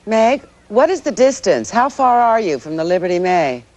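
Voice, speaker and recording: Female anchor played by an actress for a TV news drama: serious, controlled, deliberate broadcast delivery. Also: medium pitch, big pitch swings, darkish tone, natural voice. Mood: serious, tense. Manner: deliberate, occasionally halting.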